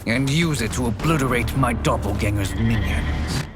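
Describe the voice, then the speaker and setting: A man's voice: medium pitch, moderate pace, loud music behind the voice, close to an everyday voice. An actor playing a scheming male sorcerer in a game cutscene, silky and sardonic in his phrasing. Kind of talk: video game cutscene acting. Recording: game audio with loud score.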